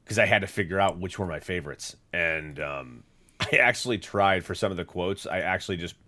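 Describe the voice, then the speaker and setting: A man's voice: deep voice, fairly quick, pushed and bright. A man co-hosting a podcast in casual chat, rambling with many fillers and restarts. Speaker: podcast co-host.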